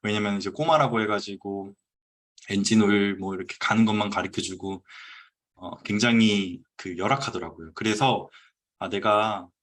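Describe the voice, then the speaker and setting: Guy around 30, lowish voice, chatting casually over a video call, lots of uhs. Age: 30s. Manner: relaxed, conversational, frequent "uh" pauses. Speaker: guest speaker presenting his business story. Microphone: computer mic on a video call.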